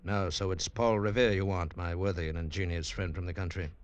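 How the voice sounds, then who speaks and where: Sly city prankster played by a man, deep voice, dry close sound, mock-polite radio drama part. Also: steady tone, a little fast, no room sound, natural voice. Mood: sly teasing. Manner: smooth, teasing, mock-courteous. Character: sly, mocking male Boston prankster posing as loyalist. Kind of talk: 1940s radio acting.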